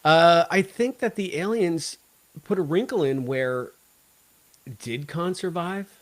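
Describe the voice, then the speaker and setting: A man in a relaxed podcast conversation as co-host. He speaks at a middle pitch with animated emphasis, drifting into tangents as he makes his case. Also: slow. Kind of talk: casual fan discussion.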